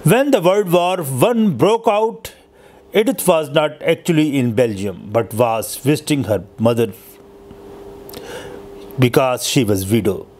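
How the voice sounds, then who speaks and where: A man narrating an inspirational historical story as its host, with halting, deliberate phrasing and occasional stumbles. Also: medium pitch, animated, darkish tone, slight background noise. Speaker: host telling inspirational true stories.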